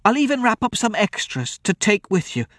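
A man voicing a frightened old farm woman who pleads in a shrill, breathless way. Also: big pitch swings, moderate pace, fairly bright tone, no room sound, studio quality. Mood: frightened pleading.

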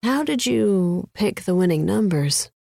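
A woman voicing a polite, businesslike male casino manager with measured, formal speech in an audiobook. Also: medium pitch, lively intonation, gravelly, dark tone, no echo, studio quality, pitched above the performer's own voice. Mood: congratulatory.

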